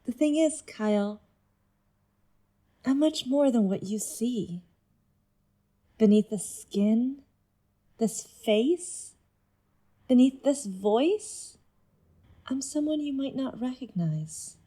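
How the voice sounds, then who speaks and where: An intense, seductive inhuman being in a woman's body played by an actress in an audio drama. The voice is high and soft-toned, and it moves slowly and deliberately, drawing the listener in with measured persuasion. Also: lively intonation, breathy edge, heavily acted. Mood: intimate, persuasive.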